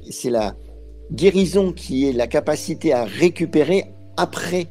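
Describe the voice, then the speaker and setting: A man's voice: a little high, animated, moderate pace, warm tone, faint music behind. A man speaking as an expert in an interview, explaining step by step with frequent hesitations and fillers. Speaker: expert guest.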